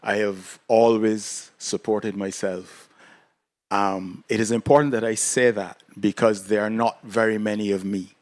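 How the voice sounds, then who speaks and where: A man who grew up in Barbados, speaking as a panelist in a slow, deliberate way at a public conversation. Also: fairly low pitch, level tone, a little mellow, no room sound. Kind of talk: panel discussion talk. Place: conference hall panel.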